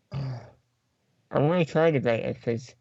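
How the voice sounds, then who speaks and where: A man's voice: medium pitch, slow pace, creaky, darkish tone, dry recording. A man chatting casually about video games as a podcast panellist. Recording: dull, close, dry microphone sound.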